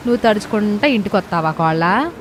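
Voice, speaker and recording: A woman's voice: mid-range voice, lively intonation, fairly quick, a little hiss or hum, close to an everyday voice. A woman playing a bossy, teasing older village mother-in-law in a cartoon moral story, scolding in rustic colloquial speech.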